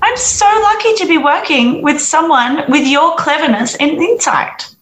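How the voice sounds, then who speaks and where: A bubbly, eager young actress in a comedy radio play, played by a woman. Her voice is high and lively, heard in an echoey space with clear music behind. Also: normal speed, slight background noise. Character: eager, naive young actress craving attention.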